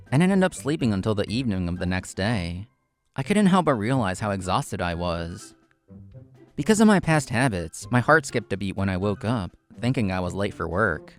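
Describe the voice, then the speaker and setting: A man playing a proud, competitive young consultant in a manga drama, intense and curt, narrating and speaking his lines. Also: lowish voice. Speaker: narrator and main character.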